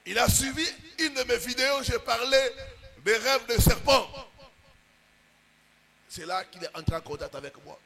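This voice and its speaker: A male pastor preaching with a very high, bright voice that turns gravelly at the ends of phrases. He pushes lines out in emphatic bursts and then lets them sink low and slow. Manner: preaching with rising, emphatic bursts and drawn-out pauses. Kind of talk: sermon.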